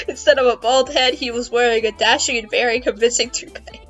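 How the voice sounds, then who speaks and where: Female comedy narrator, fairly high voice, playful dramatic reading, casual delivery over background music. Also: a bit bright, dull audio. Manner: amused, playful, giggly. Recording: music behind the voice.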